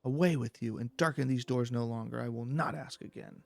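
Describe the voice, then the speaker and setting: A man's voice: mid-range voice, brisk, vocal fry, darkish tone, lightly acted. A man voicing a stern, unyielding male guard in a fantasy game, curt, formal and commanding. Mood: dismissive.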